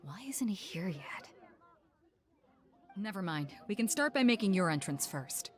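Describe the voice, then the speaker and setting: An actress playing a spirited, confident and showy tournament announcer in an anime-style video game. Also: female voice, fairly high pitch, bright, forceful tone, full character voice. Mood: impatient, worried.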